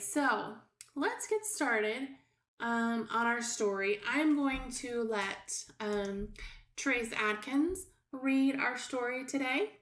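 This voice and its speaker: A middle-aged woman teaching young children as a preschool teacher, explaining things simply with hesitant pauses. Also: fairly high pitch, a bit bright. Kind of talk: read-aloud lesson for young children. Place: small room at home, indoors. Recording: roomy, echoey home recording.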